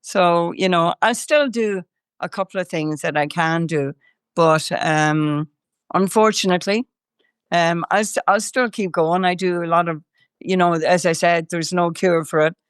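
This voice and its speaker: A woman's voice: on the low side, unhurried, clean tone, no echo, clean studio sound. An older woman from Ireland, a singer interviewed as a guest, talking in a rambling way with many fillers and restarts while chewing gum. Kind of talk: casual interview chat.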